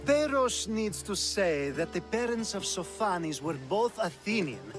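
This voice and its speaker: An actor playing a smooth, scheming, flirtatious fixer of ancient Athens in a video game, coy and theatrical in his persuasion. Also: sits high, very animated, a little slow, over music. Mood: sly, playful. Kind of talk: video game cutscene acting.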